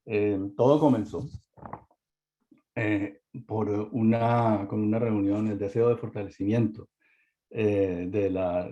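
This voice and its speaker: A man presenting a webinar talk, halting with many fillers and restarts, over a video-call mic. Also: fairly low pitch, mellow. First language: Spanish. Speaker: webinar speaker. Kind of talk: hesitant presentation. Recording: dull lo-fi webinar audio.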